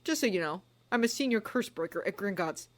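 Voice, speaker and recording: A woman voicing a calm, protective older-brother wizard who warns steadily. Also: mid-range voice, brisk, voice pushed up, close to an everyday voice. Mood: calm warning.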